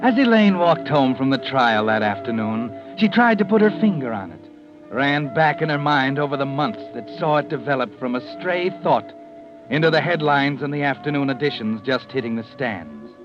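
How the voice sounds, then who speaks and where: A man's voice: mid-range voice, sing-song, close to an everyday voice. Ominous, all-knowing old-time radio mystery narrator played by a man. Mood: brooding. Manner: ominous, measured, theatrical. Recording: muffled old radio broadcast, music behind.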